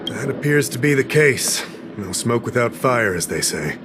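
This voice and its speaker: A man's voice: medium pitch, sing-song, normal speed, music in the background, natural voice. A man playing a dutiful male government official in a video game, formal, deferential and explanatory. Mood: earnest, formal. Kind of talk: video game cutscene dialogue.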